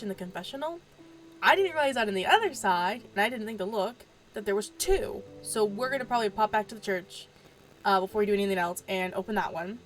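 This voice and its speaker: A woman's voice: medium pitch, rough recording. Stuffed-up woman chatting through a game. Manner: chatty, rambling, trails off with fillers; talks quietly so as not to wake others. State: stuffed up from allergies. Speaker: gaming video host. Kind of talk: casual let's-play commentary. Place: room at home at night. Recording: static from a faulty mic.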